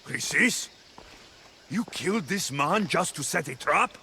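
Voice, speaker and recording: Alexios, intense Greek mercenary, widely varied pitch. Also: male voice, animated, a little slow, bright, forceful tone, slight background noise, full character voice. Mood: stern. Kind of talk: dramatic video game cutscene acting.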